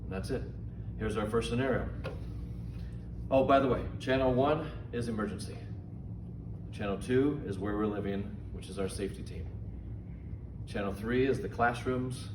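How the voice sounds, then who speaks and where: A man giving informal safety training instructions in a deliberate, simple, step-by-step manner. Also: lowish voice. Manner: slow, deliberate, simple phrasing. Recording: echoey, distant mic, noisy room.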